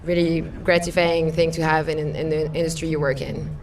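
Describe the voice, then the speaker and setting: A woman panelist speaking thoughtfully, with pauses and fillers. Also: sits low, little pitch movement, background noise. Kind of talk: panel discussion. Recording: far from the mic.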